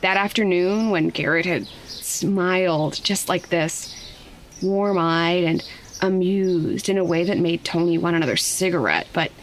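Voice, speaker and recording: An actress narrating tender, yearning romantic fan fiction in an intimate style. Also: female voice, fairly low pitch, unhurried, slight background noise. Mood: wistful.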